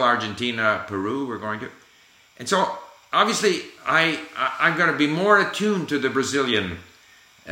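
Bright, lively man in an echoey room. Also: mid-range voice. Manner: encouraging, emphatic, repeats key phrases. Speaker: language-learning host. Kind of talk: casual conversation.

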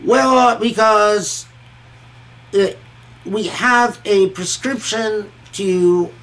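A male religious scholar lectures informally on scripture in a notably high voice. He speaks slowly and thoughtfully, halting often and repeating words as he works through each point. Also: fairly bright tone. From Egypt and Kuwait. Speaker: religious scholar giving Quranic commentary.

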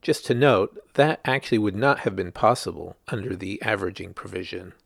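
A man's voice: lowish voice, fairly quick, gravelly, breathy edge, no room sound, studio quality. A man, a journalist, reading a written news article aloud as scripted narration.

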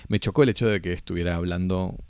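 A man talking casually about film, thinking aloud with many pauses and restarts. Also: sits low, a little mellow, clear, resonant tone, dry recording. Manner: thoughtful, halting, many restarts.

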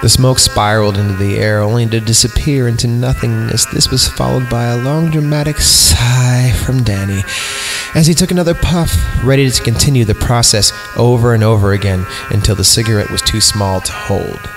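A man's voice: medium pitch, normal speed, poor audio. A man hosting a podcast, reading a horror story aloud for comedy and dropping in irreverent, casual asides. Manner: irreverent, mock-disgusted, often breaks off to comment. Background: background music.

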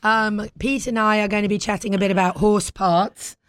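A British woman hosting a talk show in casual, playful chat, with a husky voice recovering from being lost. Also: mid-range voice, fairly even intonation, normal speed, no room sound, clean studio sound. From Britain. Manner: playful, bantering.

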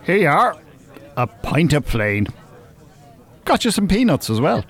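A man's voice: a little high, sing-song, measured pace, exaggerated voice. An actor playing a sardonic, well-spoken older Dublin man, wordy and mock-formal in a radio drama. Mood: dry, teasing.

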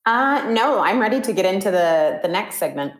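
A woman co-hosting a podcast, asking quick questions in a relaxed, teasing way. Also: medium pitch. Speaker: podcast co-host. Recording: roomy echoey room.

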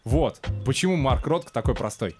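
A man in his early thirties talks like an informal host, musing and thinking out loud. His voice sits at a medium pitch, with music clearly playing behind it. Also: lively intonation, fairly quick. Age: early 30s. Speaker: host of a conversational show. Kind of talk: casual chat, discussion of art and culture.

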